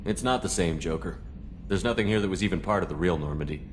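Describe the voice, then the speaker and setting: Game lead: man as resolute military commander, very low, brisk delivery, courteous, faint background hum. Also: lightly acted. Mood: matter-of-fact. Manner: brisk, composed, matter-of-fact. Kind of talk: video game dialogue acting.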